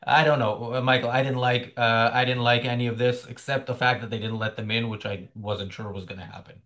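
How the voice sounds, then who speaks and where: A middle-aged man hosting a political commentary chat over a video call, sardonic and halting, with many fillers. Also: mid-range voice, level tone, fairly bright tone. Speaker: show host.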